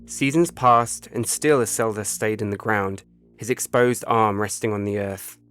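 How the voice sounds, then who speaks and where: Low-pitched man narrating over soft music. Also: a little slow, clean tone. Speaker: author reading own short story. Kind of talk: scripted literary narration. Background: music bed.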